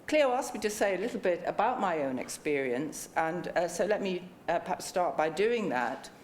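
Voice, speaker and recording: Low-voiced woman in her sixties. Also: animated, slight background noise. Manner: measured, polite, frequent ums. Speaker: panel chair and book co-author. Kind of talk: welcoming remarks at a book launch. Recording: echoey lecture room.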